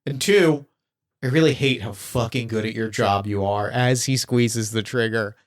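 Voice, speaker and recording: A man's voice: medium pitch, normal speed, dry recording, studio quality. A man narrating as game master in a casual, hesitant way with many restarts.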